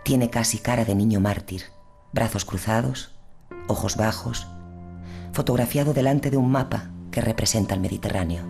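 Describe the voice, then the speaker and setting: Gravelly man reading literary prose. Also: fairly low pitch, normal speed, over music.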